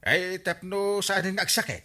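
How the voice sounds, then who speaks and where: A man playing a genial, excitable village captain with an Ilocano accent in a radio comedy drama, full of exclamations. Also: high-pitched, normal speed. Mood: teasing.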